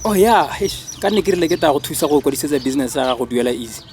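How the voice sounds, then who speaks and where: A man's voice: fairly high pitch, animated, very fast, a little mellow, a little hiss or hum, lightly acted. A man with a Botswana accent acting an earnest, sensible young family man in a radio drama, talking to a friend in an insistent, slangy way. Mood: explaining, mildly scolding.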